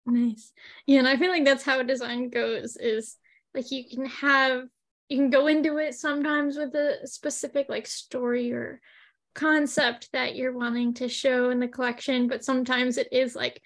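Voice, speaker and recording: Podcast host, woman, high pitch, easygoing interviewer who hedges with "like" and "kind of". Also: little pitch movement, normal speed. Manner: friendly, affirming, hedging with like and kind of. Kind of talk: interview questions.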